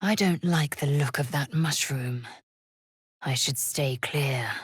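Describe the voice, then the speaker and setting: Game role: imperious female alien warrior, deep for a woman, deliberate pace, haughty, clipped and commanding. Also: husky, dry recording, clean studio sound, full character voice. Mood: wary, observant. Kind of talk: video game character voice lines.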